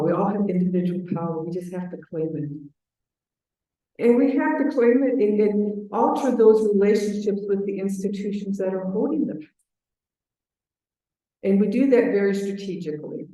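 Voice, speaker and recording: A woman from Chicago with a booming voice, giving an earnest conference talk on advocacy and repeating her key phrases for emphasis. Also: mellow. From Chicago, US Midwest. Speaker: advocacy program manager, conference speaker. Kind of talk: presentation to a conference audience. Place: large echoey conference room. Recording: very echoey hall, voice far from mic.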